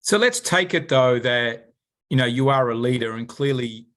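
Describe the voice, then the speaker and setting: A man's voice: medium pitch, lively intonation, moderate pace, a bit bright. A man hosting a podcast, asking interview questions in a searching, hesitant way with many fillers.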